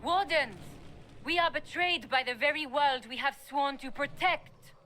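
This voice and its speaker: A woman playing a proud commander of a warrior order in a video game, speaking gravely and in declamations. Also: sits high, measured pace, pushed and bright, slight background noise. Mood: solemn, proud. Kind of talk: video game dramatic acting.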